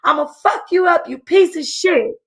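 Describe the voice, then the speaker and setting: A woman's voice: extremely high pitch, animated, moderate pace, pitched above the performer's own voice. A woman voicing an enraged young woman who screams at the people who betrayed her. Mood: furious.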